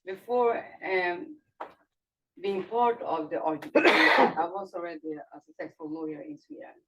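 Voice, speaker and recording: A woman speaking on a panel in a halting, self-correcting way, often laughing. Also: fairly low pitch, expressive, creaky, a bit bright. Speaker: roundtable panelist. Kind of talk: conversational panel remarks. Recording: distant, dull mic with faint noise.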